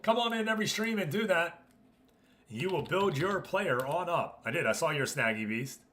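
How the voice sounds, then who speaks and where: A man's voice: fairly high pitch, expressive, moderate pace, a bit bright, a little hiss or hum. A man hosting a gaming livestream, talking casually and chummily to his viewers as he comments. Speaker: livestream host.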